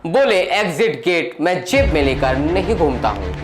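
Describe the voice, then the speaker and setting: A man's voice: fairly high pitch, sing-song, moderate pace. A man presenting a scripted news report in a dramatic, emphatic, rhetorical style. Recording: echoey voice over loud background music.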